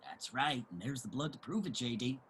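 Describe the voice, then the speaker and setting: A man's voice: mid-range voice, expressive, fairly quick, fairly bright tone, a little hiss or hum, close to an everyday voice. A man playing a combative, jealous homeless man who taunts in street vernacular in a dramatic play reading. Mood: scornful, heated.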